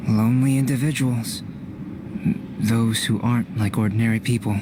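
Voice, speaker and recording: A man playing a calm, enigmatic young male alchemist in a video game, measured and reserved in his delivery. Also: mid-range voice, noisy background, close to an everyday voice. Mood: calm, pensive. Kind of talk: game voice acting.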